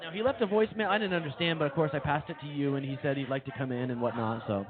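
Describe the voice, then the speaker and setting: Fast, fairly high-voiced male co-host. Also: noisy background. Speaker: comedy show co-host. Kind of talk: casual comic banter. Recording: tinny lo-fi, crowd chatter behind.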